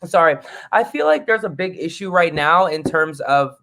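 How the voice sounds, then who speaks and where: Blunt, high-voiced male podcast guest. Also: moderate pace, gravelly, noisy background. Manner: blunt, profane, animated. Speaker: guest musician. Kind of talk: casual podcast chat.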